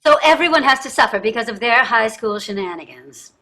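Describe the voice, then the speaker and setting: A woman's voice: fairly high pitch, lively intonation, brisk, bright, forceful tone, rough recording. An actress in a staged play reading, playing a wealthy, entitled society wife and mother with an affected, gushing manner. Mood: nosy, indignant. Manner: affected, gushing, effusive endearments.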